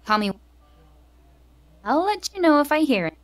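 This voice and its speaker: A caring young female adventurer, played by a woman in a visual novel, who likes to tease her friend. Her voice is high and very lively in melody, and sounds a bit distant from the mic. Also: normal speed. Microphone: online voice call.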